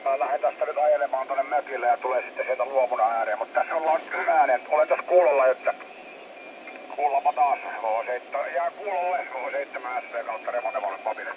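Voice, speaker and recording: A man's voice: medium pitch, narrow, tinny audio. A man chatting casually as an amateur radio operator over a shortwave link. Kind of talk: casual ham radio contact. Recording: thin shortwave radio transmission with static. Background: radio static.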